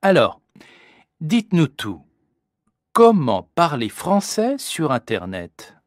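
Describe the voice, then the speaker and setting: A woman interviewer with a very lively, melodic delivery. She speaks slowly and distinctly, in a dry studio sound. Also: low voice, no echo. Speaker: radio-style interviewer. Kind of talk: scripted educational interview.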